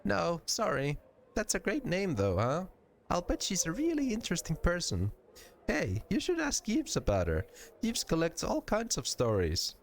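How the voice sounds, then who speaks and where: High-pitched, tiny timid young woman, acted by a man, gushing, hesitant, animated, game character. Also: normal speed, creaky, a little hiss or hum, heavily acted. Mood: cheerful. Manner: hesitant, gushing, exaggerated when scolding.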